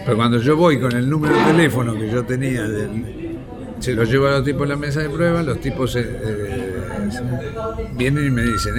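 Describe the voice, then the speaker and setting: Argentine man recounting over loud chatter. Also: mid-range voice. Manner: halting, colloquial. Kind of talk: spontaneous spoken testimony, recounting memories. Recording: very loud crowd chatter behind.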